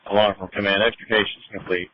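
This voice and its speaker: A low-pitched man speaks as a fire incident commander over a two-way radio. The sound is narrowband and muffled, with a dry close feel, and his calls are short and procedural. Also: level tone, quick pace, no room sound. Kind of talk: emergency radio traffic, terse procedural calls. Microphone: radio handset.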